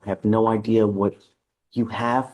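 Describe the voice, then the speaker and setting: Low-voiced stern male judge. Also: level tone, darkish tone, poor audio. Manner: measured, deliberate, stern but patient. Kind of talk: courtroom hearing remarks. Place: courtroom.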